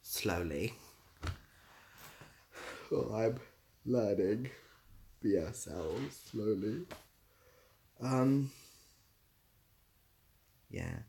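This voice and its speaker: A man's voice: on the low side, lively intonation, extremely slow, long pauses, mellow, rough recording. A British-accented man chatting casually as a livestream host, answering viewers in a dry, easygoing, self-deprecating way. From Britain.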